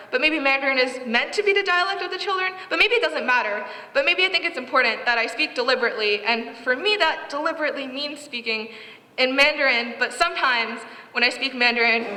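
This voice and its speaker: A woman's voice: high voice, bright, forceful tone. A teenage girl reading her own prose aloud at a live reading, earnest and reflective with wry asides. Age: teens. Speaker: student writer reading her own story. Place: event hall. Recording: echoey hall with long reverb.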